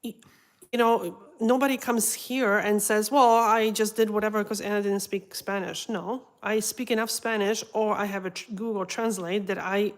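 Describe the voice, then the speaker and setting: A mid-pitched woman speaking English as a second language, frank and opinionated. She talks casually, with plenty of "like" and "um", while she works on a client's nails. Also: fairly even intonation, normal speed. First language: not English. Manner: frank, opinionated, many fillers. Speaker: nail technician co-host. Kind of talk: casual livestream chat.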